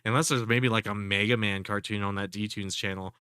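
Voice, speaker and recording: A man's voice: on the low side, fairly even intonation, a little fast, sharp tone, smooth, dry recording, clean studio sound. A man commentating casually on a live stream, sounding congested, rambling with lots of fillers and swearing. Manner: rambling, profane, full of fillers and restarts.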